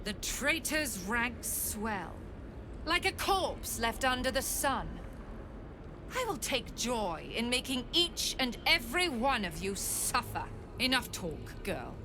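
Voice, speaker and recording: A woman playing a vengeful, contemptuous cult leader in a fantasy game, with a menacing, drawn-out, disdainful delivery. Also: fairly high pitch, very animated, music in the background. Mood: menacing contempt. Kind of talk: dramatic fantasy game acting.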